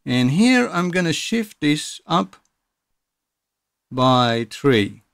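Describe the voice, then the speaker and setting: A man's voice: a little high, very animated, drawn-out words, gravelly, a bit bright, clear, resonant tone, no room sound, clean studio sound. A man presenting a music production tutorial in a casual, explanatory, informal way. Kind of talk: casual software tutorial narration.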